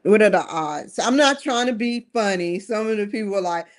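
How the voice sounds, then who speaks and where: A woman in her forties talks alone on a live stream, circling her thoughts in an earnest, wandering way. She often restarts her sentences and sits at a middle pitch for a woman. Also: expressive, normal speed. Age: 40s. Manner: rambling, earnest, with frequent restarts. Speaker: talk show host. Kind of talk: live-streamed solo talk. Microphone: streaming setup.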